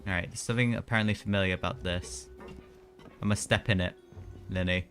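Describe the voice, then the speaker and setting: A man's voice: deep voice, fairly even intonation, a little fast, a bit bright. A young man doing casual live gameplay commentary, chatty and slangy with mild exclamations. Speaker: game streamer. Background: game music.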